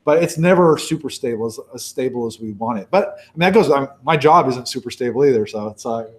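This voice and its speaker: A man's voice: mid-range voice, expressive, fairly quick. A man in his forties, a sea turtle researcher from Baja California, Mexico, talking casually with many restarts and self-corrections. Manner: rambling, many restarts, conversational. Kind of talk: casual talk and Q&A.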